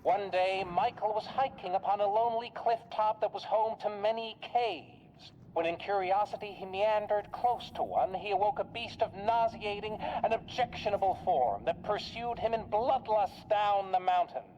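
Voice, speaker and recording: An eerie radio storyteller from a horror game, performed by a woman, speaking at a middling pitch. The delivery is folksy and theatrical, leaning on dramatic pauses to spin macabre scripted tales. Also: warm tone, dull audio, slight background noise, lightly acted.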